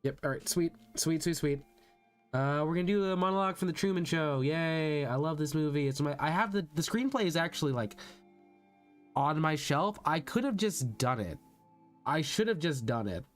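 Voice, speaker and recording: A man's voice: a little high, lively intonation, moderate pace. A man streaming a voice acting practice session, chatting informally and thinking aloud, often repeating his words. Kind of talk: casual livestream chat. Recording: soft background music behind voice. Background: background music.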